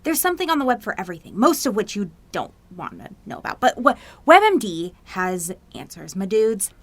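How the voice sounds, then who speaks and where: A woman's voice: medium pitch, sing-song, a little fast, dry recording. A woman playing a cheeky, boastful, nerdy teenage best friend in an audio drama, with flippant, teasing, slangy talk.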